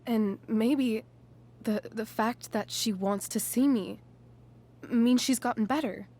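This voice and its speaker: A woman's voice: a little high, slow, darkish tone. A woman playing a wounded, searching teenage daughter in a video game drama, speaking in a hesitant, questioning way. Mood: shaken, questioning. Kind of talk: dramatic game cutscene acting.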